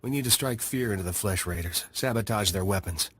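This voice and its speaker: A man's voice: fairly low pitch, a little fast, fairly bright tone. A man voicing a blunt alien scout chief who is eager to take revenge on raiders, in a studio video game cutscene. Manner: blunt, gruff.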